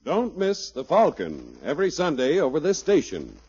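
An American man announces in the style of 1950s radio. His voice is fairly high and sweeps up and down. The recording is dull and old. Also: wildly swooping pitch, normal speed, darkish tone, slightly rough. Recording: muffled old radio recording, music behind. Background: music.

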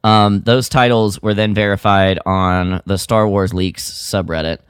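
A man co-hosting a podcast, chatting casually in a hesitant, halting way. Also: fairly low pitch, steady tone, smooth, no room sound, clean studio sound. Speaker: podcast co-host. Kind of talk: casual chat.

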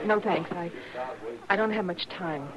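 A woman's voice: lowish voice, a little slow, lightly acted. Troubled, pleading frontier stepmother, played by an actress. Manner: earnest, plaintive, folksy. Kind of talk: 1950s radio western acting. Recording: muffled old radio, crowd behind.

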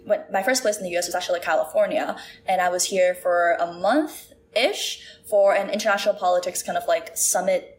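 A woman's voice: fairly low pitch, a little hiss or hum. A woman in her early twenties who grew up in Shanghai and Singapore, chatting casually as an interview guest and saying "like" a lot. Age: 20s. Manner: chatty, says "like" often, many fillers.

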